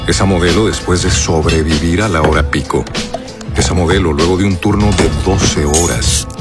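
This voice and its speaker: A man with a low, deep voice reads a radio commercial in measured, punchy phrases. Loud music runs behind him. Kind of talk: radio ad narration.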